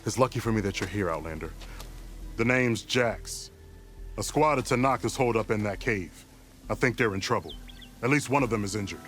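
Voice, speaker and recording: An actor playing a steady veteran who speaks in a measured, diplomatic way in a game. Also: male voice, lowish voice, a little hiss or hum. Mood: earnest. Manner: measured, calm, persuasive. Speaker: game character.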